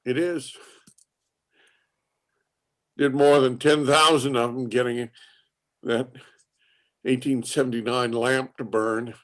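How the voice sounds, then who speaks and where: A man playing a stubborn, musing inventor in his eighties, speaking haltingly in a play reading. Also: medium pitch, fairly even intonation, natural voice. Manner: halting, repeating words, ruminative. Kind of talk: acted dialogue in a play reading.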